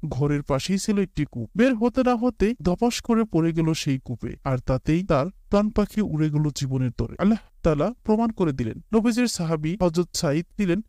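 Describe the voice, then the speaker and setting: An earnest, pious father in a moral cartoon, played by a man. His voice is high and mellow, recorded very dry. He admonishes and teaches in measured storytelling phrases. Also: lively intonation, no echo, natural voice.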